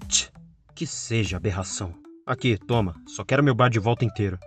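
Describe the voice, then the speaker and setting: A man's voice: on the low side, fairly quick, faint music behind, lightly acted. A man playing a crude, mocking bar owner who hires mercenaries in an audio drama, sneering and vulgar. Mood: irritated, dismissive.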